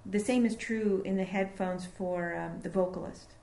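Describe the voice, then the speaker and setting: A woman recording engineer talking casually in an interview about her studio, explaining with fillers and pauses. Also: mid-range voice, steady tone, vocal fry, mellow. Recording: echoey room.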